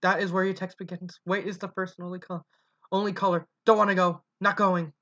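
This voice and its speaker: A reader voicing a dazed young colt who murmurs in halting, dreamy fragments with stammers. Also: mid-range voice, little pitch movement, bright, forceful tone, lightly acted. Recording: dull lo-fi recording.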